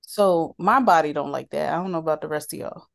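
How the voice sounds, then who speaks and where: Woman co-host with a cold, animated and quick to laugh, casual banter. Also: deep voice, gravelly. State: has a cold. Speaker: podcast co-host. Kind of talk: casual chat.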